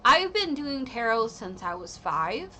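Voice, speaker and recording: A woman's voice: on the high side, sing-song, unhurried, pushed and bright, slight background noise. A woman in her thirties giving a casual livestream tarot reading, rambling with lots of ums and likes. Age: 30s. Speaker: tarot reader and streamer.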